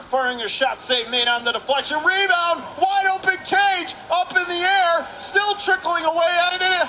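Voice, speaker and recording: Male sports announcer, bright forceful tone, quick-fire clipped hockey calls over faint arena noise. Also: high-pitched. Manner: clipped running phrases, excited calls. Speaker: radio play-by-play announcer. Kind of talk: live hockey play-by-play commentary. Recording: noisy live rink recording.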